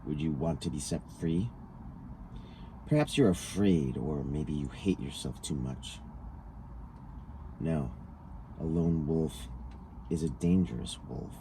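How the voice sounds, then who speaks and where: A man's voice: rumbling low, animated, takes it slowly, long pauses, dark tone, poor audio. A man reading a scripted interview dialogue aloud, taking each speaker's lines in turn. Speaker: reader of a written transcript. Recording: noticeable background noise.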